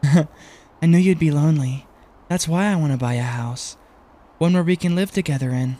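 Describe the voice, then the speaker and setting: A man's voice: on the high side, normal speed, warm tone, natural voice. A man voicing a naive, hopeful young boy in a manga dub drama. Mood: hopeful, teasing. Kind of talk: anime-style manga dub acting.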